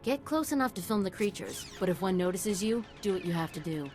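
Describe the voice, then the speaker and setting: A woman's voice: medium pitch, animated, brisk, a little mellow, close to an everyday voice. A woman playing an eager, nerdy scientist in a video game, precise and absorbed. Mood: eager, intent. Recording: game music underneath.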